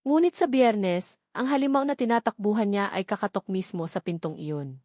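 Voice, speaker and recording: A woman reading a scripted romance story aloud in a measured, dramatic storytelling manner. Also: mid-range voice, animated, a little mellow, no room sound, clean studio sound. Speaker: narrator.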